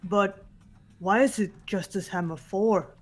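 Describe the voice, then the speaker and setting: A woman voicing a steady, serious young woman in an amateur mystery game dub, direct and commanding. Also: medium pitch, measured pace, close to an everyday voice. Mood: questioning.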